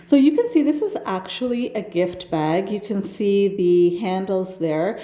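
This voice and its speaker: A woman's voice: mid-range voice, animated, unhurried, dark tone, roomy sound. A woman with a stuffed-up nose from a cold, chatting enthusiastically as she narrates her home decorating. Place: home kitchen.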